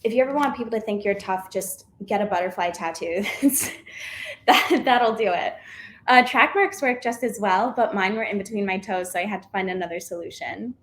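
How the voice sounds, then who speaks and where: A stand-up comedian with a high voice, delivering a dry, deadpan set. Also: female voice, moderate pace, a little hiss or hum.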